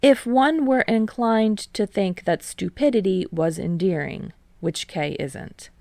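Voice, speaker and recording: Female fiction narrator; gravelly fry, lowish register, dry sound, audiobook reading. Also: a little slow, a little mellow, no room sound.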